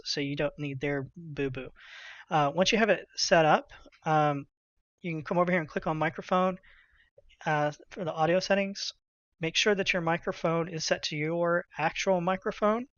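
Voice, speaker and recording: A man's voice: a little high, dry recording. Man giving a dull-sounding, dry tech tutorial. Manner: casual, halting, thinking aloud. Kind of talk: informal unscripted software walkthrough. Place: indoors at a computer. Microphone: computer headset mic.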